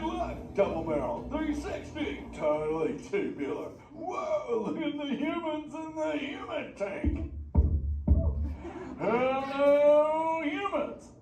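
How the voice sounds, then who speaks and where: An exuberant surfer dude turtle, pitched high and swooping all over. He banters goofily in drawling slang at a live theme park show. The sound is echoey and picked up from a distance. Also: heavily acted. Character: laid-back surfer dude sea turtle, exuberant adult male.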